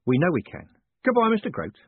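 Man as a polite, guarded, slightly high-voiced ringleader. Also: huge pitch swings, brisk, breathy edge, dry recording, full character voice. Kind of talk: audiobook character voice. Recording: narrow phone-like band.

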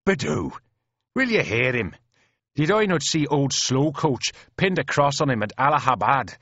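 A man voicing an excitable, devoted Irish infantry private, gushing and deferential, with an Irish accent. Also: mid-range voice, moderate pace, no echo, lo-fi sound, pitched above the performer's own voice. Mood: amazed, delighted. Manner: exclamatory, blarneying, effusive.

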